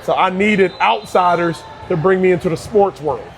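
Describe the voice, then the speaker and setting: Earnest high-voiced man from Barbados. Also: normal speed, noisy background. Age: 30s. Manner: earnest, repeats and restarts words. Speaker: youth basketball coach. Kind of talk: casual interview conversation.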